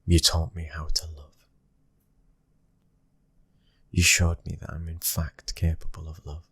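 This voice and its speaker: A British man playing a tender, devoted mafia husband and father in a soft ASMR roleplay, speaking in a gentle, breathy hush and often whispering. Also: sits low, completely flat delivery, measured pace, no echo, exaggerated voice. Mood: loving, tender.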